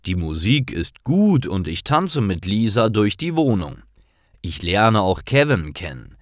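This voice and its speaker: A man narrating scripted stories for language learners in deliberate, clearly separated short sentences. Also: on the low side, no echo, studio quality.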